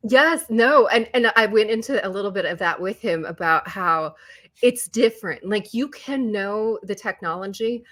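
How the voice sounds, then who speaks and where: A middle-aged woman from Puerto Rico, chatting as a podcast guest in a lively storytelling way and laughing often. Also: medium pitch, normal speed. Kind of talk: casual interview chat.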